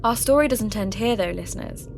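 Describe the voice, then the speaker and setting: A woman playing a sceptical, intrigued local radio host who investigates ghost stories in measured, scripted podcast narration. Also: medium pitch, lively intonation, gravelly. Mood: intrigued, uneasy. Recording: music bed under voice.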